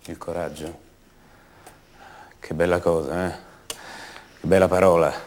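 A man's voice: low voice, level tone, a little mellow, a little hiss or hum. Hesitant, wry man. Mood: wry, musing. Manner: halting, conversational, rhetorical questions to the listener. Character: ordinary man returned home, hesitant, wry, self-doubting drifter. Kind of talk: naturalistic theatrical monologue for radio drama.

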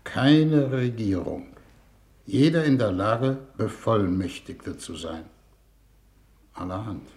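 A man's voice: fairly low pitch, lively intonation, slow, pauses often, a little mellow. An actor playing a formal, ponderous, idealistic foreign minister in a 1950s German radio play, hesitant in his speechmaking. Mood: musing, impressed. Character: deliberate, idealistic male foreign minister leading a diplomatic mission. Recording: roomy 1950s radio recording, background hiss.

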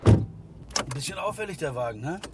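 An actor playing a guarded, dry middle-aged drug supplier, terse and reserved, in a naturalistic radio drama. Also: male voice, on the high side, animated, moderate pace, very noisy. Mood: wary. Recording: very loud car and street ambience.

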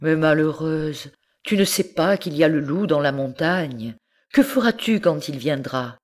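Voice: medium pitch, lively intonation, measured pace. A reader voicing a worried older farmer who exclaims and warns in a storybook tale. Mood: warning. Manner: exclaiming, anxious. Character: older male farmer, fretful and protective.

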